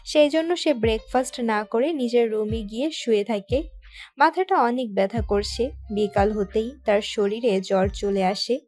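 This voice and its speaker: A woman's voice: a little high, measured pace, a little mellow. A woman reading out scripted story narration as the narrator of an audio tale.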